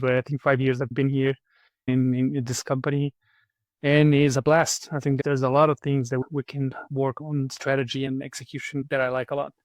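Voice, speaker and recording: A man's voice: mid-range voice, no room sound. A man from Brazil, a guest on a podcast, thoughtfully explaining his work, often repeating words as he searches for the next one. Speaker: podcast guest, program manager. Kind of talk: conversational interview answers.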